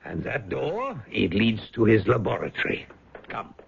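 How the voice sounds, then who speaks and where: An actor playing a stern, deferential henchman in a radio drama, gravelly and clipped. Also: fairly low pitch, big pitch swings, measured pace, slight background noise, full character voice. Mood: stern, urgent. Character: stern, deferential male German henchman to a professor. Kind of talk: 1970s radio drama acting. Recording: dull, lo-fi old radio broadcast.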